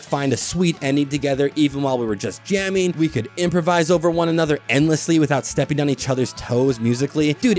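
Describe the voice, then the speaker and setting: A man's voice: on the high side, a little mellow. A man hosting a casual video essay, chatty and blunt, with lots of "like" and cheerful profanity. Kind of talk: casual commentary.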